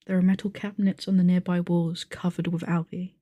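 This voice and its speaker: A woman playing a calm, bookish young monster game master in an audio roleplay, measured, articulate and formal. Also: lowish voice, no echo, studio quality, lightly acted. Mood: theatrical narration. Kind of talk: acted audio roleplay, narrating a tabletop game.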